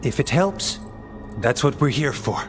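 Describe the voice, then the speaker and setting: An actor playing an earnest, curious scholar-warrior in a video game, thoughtful and a little hesitant. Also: male voice, medium pitch, big pitch swings, unhurried. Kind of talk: dramatic video game cutscene acting. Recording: clear music behind the voice.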